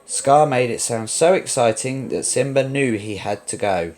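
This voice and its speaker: Casting: male storybook narrator, mid-pitched, dramatic and expressive read-along delivery for children. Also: normal speed.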